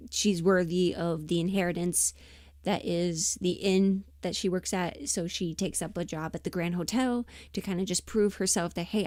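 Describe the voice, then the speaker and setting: A woman chatting casually and emphatically on a livestream, rambling in an animated, opinionated way. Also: lowish voice, no echo, clean studio sound. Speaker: livestream host and voice performer.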